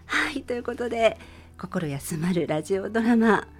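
A woman's voice: mid-range voice, lively intonation, moderate pace. A woman hosting a radio show, speaking politely as she presents and signs off. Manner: polite, formal speech. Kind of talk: radio show sign-off and chat.

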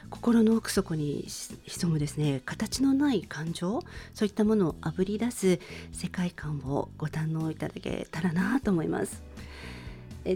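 A middle-aged woman hosting a radio show, talking in a soft-spoken way as she introduces a song. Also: medium pitch.

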